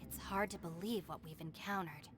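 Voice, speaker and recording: A woman voicing a composed, earnest young woman in a fantasy anime game, speaking in a measured, articulate way. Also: fairly low pitch, a bit bright, a little hiss or hum, close to an everyday voice. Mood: concerned.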